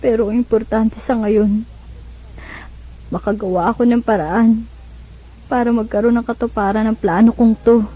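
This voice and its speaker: Woman acting determined, high-voiced scheming young woman. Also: fairly even intonation, moderate pace, pauses often, natural voice. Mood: resigned, resolved. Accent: Filipino. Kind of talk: Filipino radio drama acting. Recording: dull lo-fi radio broadcast.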